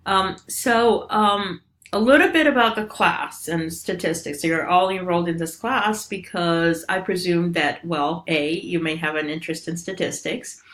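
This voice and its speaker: A middle-aged woman with a Romanian accent, a professor giving a recorded course introduction in a relaxed, explanatory way with frequent fillers. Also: fairly low pitch, moderate pace. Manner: informal, many ums and uhs, explanatory. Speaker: statistics professor.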